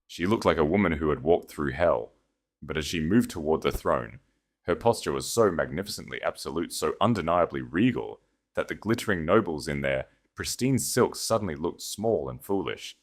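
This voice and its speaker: A man narrates scripted dramatic fiction in a very deep voice. His delivery is measured and solemn, with a theatrical weight to the phrasing. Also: lively intonation.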